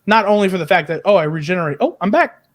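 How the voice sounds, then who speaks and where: Male, high-pitched, casual podcast chat, joking, irreverent. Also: lively intonation, fast. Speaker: podcast co-host. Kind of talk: casual fan news chat.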